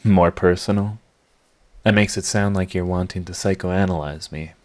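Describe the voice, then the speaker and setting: A man's voice: low-pitched, fairly even intonation, darkish tone, natural voice. A man playing a sarcastic, flippant villain with a teasing, mocking delivery in a scripted audio roleplay. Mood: defensive, irritated. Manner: sardonic, theatrical teasing, drawn-out mocking delivery. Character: sarcastic male villain, flippant, prickly, begrudgingly charming.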